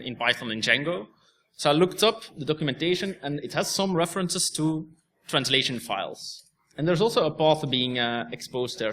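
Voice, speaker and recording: A man's voice: fairly high pitch, sharp tone. A young man from Belgium, a native Dutch speaker, giving a technical talk with a Belgian Dutch accent and explaining step by step with frequent restarts. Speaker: conference speaker.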